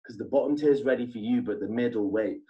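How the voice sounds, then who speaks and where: A man's voice: medium pitch, fast talker, far from the mic, lo-fi sound. A man with a southern English accent delivering aggressive, insult-heavy battle rap verses. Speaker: battle rapper.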